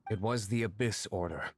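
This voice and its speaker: A man playing an aloof, proud gentleman vigilante in a video game, speaking formally in a dry, disdainful, measured manner. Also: lowish voice, background noise.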